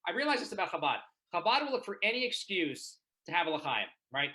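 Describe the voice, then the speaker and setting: A man's voice: high voice, big pitch swings, fast, bright, forceful tone. A man from Israel doing a chatty stand-up set from his home over a video call, constantly checking in with the audience. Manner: chatty, keeps asking the audience "right?". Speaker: stand-up comedian.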